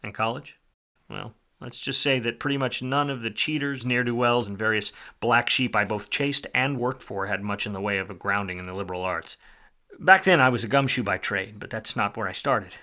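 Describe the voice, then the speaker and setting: An actor playing a world-weary, wisecracking private detective in a film noir radio play, wry and slangy. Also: male voice, on the low side, normal speed, pushed and bright. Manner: hard-boiled, wry, slangy.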